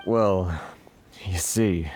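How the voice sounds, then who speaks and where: An actor playing an anxious, deferential merchant in an anime game, hesitant and prone to grumbling. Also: male voice, low-pitched, extremely slow, a little mellow, slight background noise. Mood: embarrassed, aggrieved. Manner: hesitant, sighing, self-pitying.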